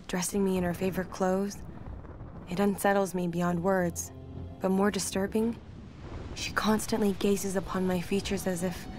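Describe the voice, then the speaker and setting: Casting: female actor as grave, dutiful temple maiden for a game, rather low, restrained melody, measured delivery. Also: natural voice. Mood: uneasy, fearful. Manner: solemn, measured, formal. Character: young ancient priestess, troubled, dutiful and resolute. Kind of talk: dramatic scripted game narration. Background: music.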